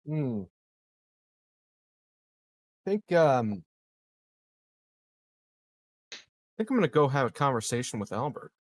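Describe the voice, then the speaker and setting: Measured mid-pitched man, dry close mic. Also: sing-song, dry recording. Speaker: tabletop game player. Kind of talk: casual, in-character game talk.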